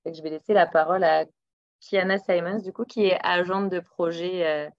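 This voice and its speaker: A woman's voice: lowish voice, fairly even intonation, moderate pace, no room sound. A woman with a Québécois accent introducing a webinar in a casual, hesitant way with many fillers, over a computer mic on a video call. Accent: Québécois French. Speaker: webinar host. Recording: dull, dry lo-fi audio.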